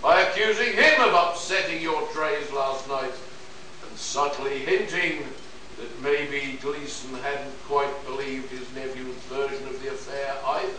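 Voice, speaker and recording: A man's voice: medium pitch, a little slow, bright, forceful tone. A man playing a cocky space detective in a pulp radio play, laying out his case in a theatrical, expository way. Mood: smug accusing. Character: cocky male space detective explaining a murder. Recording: echoey hall, distant mic, faint noise.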